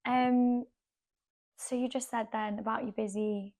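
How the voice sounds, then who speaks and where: A woman's voice: on the high side, steady tone, a little slow, darkish tone. Welsh woman host from Cardiff. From Wales. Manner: chatty, with frequent agreeing backchannels. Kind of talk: casual chat.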